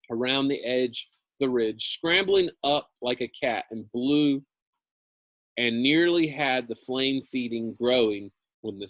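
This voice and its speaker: A man's voice: mid-range voice, a bit bright, dry recording. A man reading a novel aloud like a teacher, with dramatic emphasis and casual, informal asides. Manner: dramatic emphasis, repeats phrases, informal commentary.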